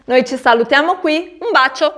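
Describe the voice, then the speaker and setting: A woman speaks straight to her viewers with frank advice. Her voice sits high. She poses rhetorical questions and answers them firmly herself. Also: normal speed, fairly bright tone. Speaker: vlogger giving advice on life abroad. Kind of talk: direct advice vlog.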